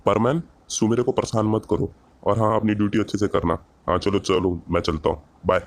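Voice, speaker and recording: A bossy cartoon bird-man from another planet, acted by a man, speaks in a lowish voice. He is a commanding superhero mentor who lectures with pointed questions. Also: fairly even intonation, brisk, faint music behind, natural voice. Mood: brisk.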